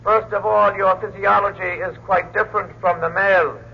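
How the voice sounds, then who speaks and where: High-pitched, coldly formal alien examiner. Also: male voice, fairly even intonation, normal speed, gravelly, a little hiss or hum. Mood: clinical menace. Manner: formal, precise, clinical. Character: cold, formal alien male examiner of captured humans. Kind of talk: old-time radio drama acting. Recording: muffled lo-fi old radio recording.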